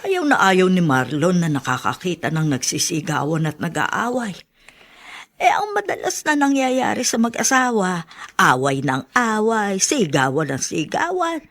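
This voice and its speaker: Low-voiced gossipy maid. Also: female voice, big pitch swings, a little slow, fairly bright tone, exaggerated voice. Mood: grumbling. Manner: muttering to herself, colloquial. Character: chatty, gossipy household maid grumbling about her employers. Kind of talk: radio drama acting.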